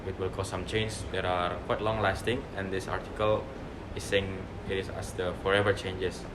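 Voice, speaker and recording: A young man with a very low voice moderates a student discussion. He is recorded in a noisy room with a steady hum behind him. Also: normal speed. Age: young adult. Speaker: discussion leader.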